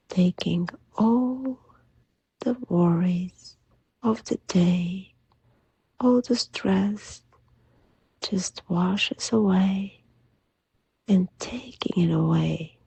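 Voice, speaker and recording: A woman leading a guided sleep meditation in a soft, soothing, gentle voice that often drops to a breathy near-whisper. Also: medium pitch, dry recording. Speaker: hypnotherapist guide.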